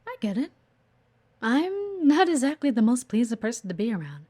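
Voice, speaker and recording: A woman's voice: on the high side, big pitch swings, normal speed, mellow. A woman playing a blunt, guarded but earnest executive assistant in an audio roleplay, assertive and exasperated. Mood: resigned, frustrated. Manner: exasperated, assertive, frequent sighs. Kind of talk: audio roleplay acting addressed to the listener.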